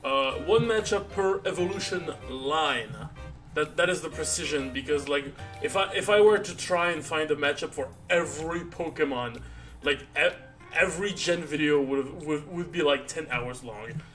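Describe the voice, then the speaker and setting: A tired man chatting casually on a live stream, rambling and halting with many restarts and fillers. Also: on the high side. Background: soft background music.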